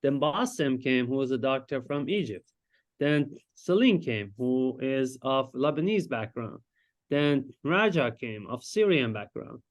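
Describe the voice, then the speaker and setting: A man from Iran, a Farsi speaker, presenting his research as a psychiatrist in a lecture and pausing often to search for words. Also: medium pitch, animated. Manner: explanatory, searches for words with frequent hesitations.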